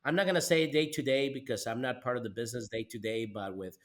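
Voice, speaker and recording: A man with a Costa Rican accent, a native Spanish speaker, hosting a conversational podcast interview in a halting way, often repeating words and restarting. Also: medium pitch. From Costa Rica. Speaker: podcast host.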